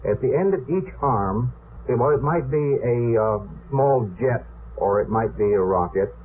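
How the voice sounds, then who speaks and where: A man's voice: mid-range voice, animated, a little slow. A man explaining technical matters off the cuff as a guest on a radio panel, in a hesitant way. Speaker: guest expert on rockets. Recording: old radio recording, narrow phone-like sound, noisy.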